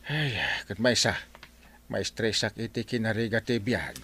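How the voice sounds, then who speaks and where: An actor with an Ilocano accent playing a stressed, broke village captain in a radio comedy drama, complaining with exaggerated exclamations. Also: male voice, fairly low pitch, moderate pace, sharp tone, husky, full character voice.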